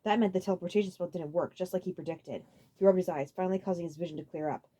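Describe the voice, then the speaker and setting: A woman reading a story aloud as a dramatic narrator, expressive, occasionally stumbling over a phrase. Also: lowish voice, rapid-fire. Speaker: audiobook narrator.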